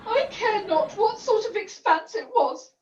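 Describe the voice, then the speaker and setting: Pantomime maid, woman performer: lowly, plaintive, melodramatic, very high and swooping. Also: animated, a little slow, slight background noise. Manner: florid, old-fashioned, plaintive speech. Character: Elsie, a lowly, overwrought, melodramatic young runaway maid. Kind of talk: theatrical pantomime acting. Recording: echoey distant muffled video-call audio.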